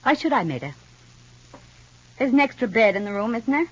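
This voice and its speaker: An actress playing a proud, curt and resentful sister in an old radio soap opera. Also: female voice, fairly high pitch, big pitch swings, fairly quick. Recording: muffled, dull old radio recording.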